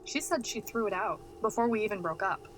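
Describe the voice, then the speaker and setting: A woman's voice: medium pitch, fairly quick, lightly acted, rough recording. A woman acting an uneasy character in a paranormal audio drama, with noise and animal ambience behind her. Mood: agitated, searching.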